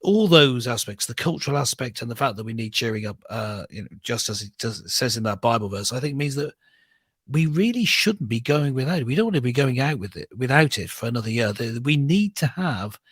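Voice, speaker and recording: British man, husky and hesitant. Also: mid-range voice, animated, fairly quick, no echo, studio quality. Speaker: co-host of a talk show, clergyman. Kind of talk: conversational opinion discussion.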